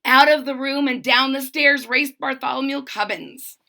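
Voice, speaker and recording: High, bright-voiced woman reading a storybook. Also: moderate pace, creaky. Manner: dramatic, addressing listeners directly. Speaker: storybook reader. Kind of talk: expressive children's read-aloud with retelling asides.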